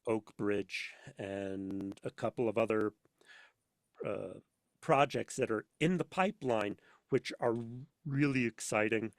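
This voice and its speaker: A man chatting casually as a podcast guest, speaking haltingly and ramblingly with emphatic, theatrical flourishes. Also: lowish voice, no room sound. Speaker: podcast guest, audio drama voice actor and sound editor. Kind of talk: casual interview chat.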